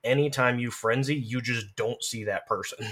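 A man's voice: mid-range voice, moderate pace, a bit bright, husky. A man chatting casually as a podcast guest, explaining things in a conversational way. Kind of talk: casual podcast discussion of game lore and strategy.

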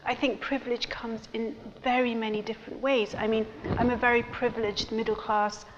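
A woman's voice: a little high, level tone, fairly quick. A woman panelist speaking earnestly at a panel discussion, with many "you know" fillers. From of Pakistani heritage. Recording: dull hall recording with noise.

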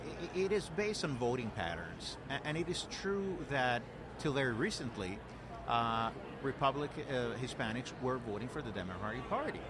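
A man from Puerto Rico speaks earnestly in an interview, stopping often on fillers and repeated words. His voice sits at an ordinary pitch. Traffic and street noise run clearly behind him outdoors. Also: big pitch swings, normal speed. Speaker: guest, political director. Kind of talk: conversational interview. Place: outdoor event.

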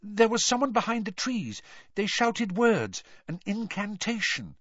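A man's voice: sits high, sing-song, measured pace, a bit bright, no echo, dull audio, voice pushed up, exaggerated voice. A man voicing a frightened, earnest teenage girl in an audiobook, with urgent, pleading delivery. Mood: shaky.

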